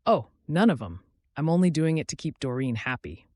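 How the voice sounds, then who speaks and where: A man's voice: fairly high pitch, big pitch swings, moderate pace, no echo, studio quality. A man voicing a kindly, bashful older woman, a folksy frontier cook in an audiobook. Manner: folksy, dropped g's, homely endearments.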